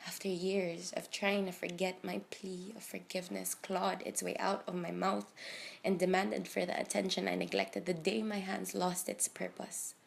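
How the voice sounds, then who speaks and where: A woman in her early twenties performing dramatic spoken word poetry, with a deliberate, emotive delivery full of pauses and emphasis. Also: fairly low pitch, flat delivery, vocal fry, slight background noise. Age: early 20s. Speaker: slam poet.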